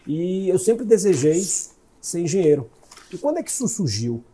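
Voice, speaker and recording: Husky, mellow Bahian man. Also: high-pitched, very animated. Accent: Bahian Portuguese. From Salvador, Brazil. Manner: reflective, measured recounting of his career. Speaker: guest executive. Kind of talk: interview storytelling.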